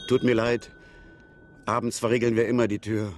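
A man's voice: mid-range voice, level tone, moderate pace, over music, natural voice. An actor playing a helpful, matter-of-fact male motel keeper in a radio drama.

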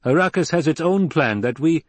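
A man's voice: a little high, expressive, moderate pace, no room sound, voice pushed up. A man doing a blunt, wary desert scientist. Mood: guarded. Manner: curt, terse replies.

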